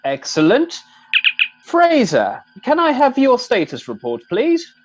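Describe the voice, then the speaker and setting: A man's voice: very high voice, wildly swooping pitch, takes it slowly, dull audio, noisy background, exaggerated voice. A man playing a polite, mild-mannered middle-aged sergeant in an amateur comedy radio play, courteous and hesitant. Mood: courteous, dithering.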